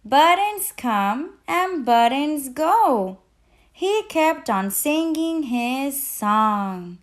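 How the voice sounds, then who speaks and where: Female children's storyteller, high register, very unhurried delivery, expressive and interactive with young listeners. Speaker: teacher and children's read-aloud host. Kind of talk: children's picture book read-aloud with sung refrains.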